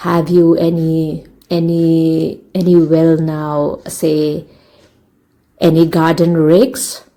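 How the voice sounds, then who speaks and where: Storybook bashful older man, acted by a woman narrator: hesitant, stammering, flustered, mellow. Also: deep voice, completely flat delivery, slow pace, rough recording.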